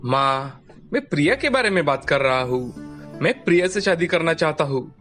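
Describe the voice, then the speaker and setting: A man's voice: on the high side, expressive, moderate pace, fairly bright tone. A man voicing an earnest, stubborn young man, a pampered lovestruck son in a cartoon story. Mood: earnest, insistent. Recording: music bed behind the voice.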